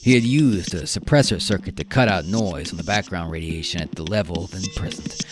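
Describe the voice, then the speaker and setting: A man narrating a science fiction radio drama in a deliberate, theatrical way with dramatic pauses. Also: deep voice. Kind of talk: dramatic scripted story narration. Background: music with guitar.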